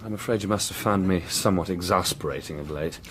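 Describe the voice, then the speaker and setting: An actor playing a brilliant, impatient young male detective in a period radio drama, brisk, self-assured and well-spoken. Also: deep voice, a bit bright, a little hiss or hum. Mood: wry, reflective.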